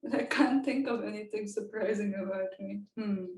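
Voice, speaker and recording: A woman's voice: mid-range voice, darkish tone. A woman researcher answering interview questions casually, chatty and thinking aloud with frequent laughs. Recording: echoey room, distant from the mic.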